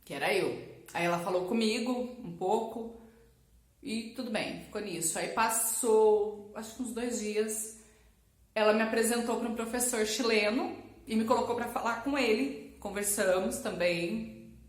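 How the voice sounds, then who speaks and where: A woman's voice: a little high, measured pace. Brazilian woman chatting in an echoey room. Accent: Brazilian Portuguese. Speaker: language teacher and vlogger. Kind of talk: casual personal storytelling to camera. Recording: echoey, roomy sound.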